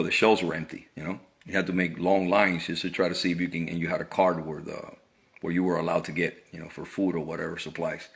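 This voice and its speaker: Deep-voiced middle-aged man giving opinions. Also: vocal fry. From Cuba. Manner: earnest, repeats words and restarts phrases. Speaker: vlogger. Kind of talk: casual opinion monologue.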